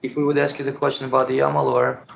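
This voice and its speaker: A man's voice: medium pitch, level tone, fast, gravelly, lo-fi sound, slight background noise. A man attending a study group, casually asking questions.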